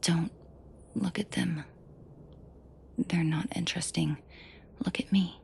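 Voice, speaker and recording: A woman performs a female mafia boss for an audio roleplay, her voice low and heavy with fry. She speaks slowly and deliberately, sardonic and dangerous yet protective, with a flirtatious edge aimed intimately at the listener. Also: steady tone, darkish tone, a little hiss or hum, heavily acted. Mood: soft, flirtatious, protective. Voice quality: breathy, husky low voice with vocal fry. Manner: slow, deliberate, dryly sarcastic, often dropping to a murmur.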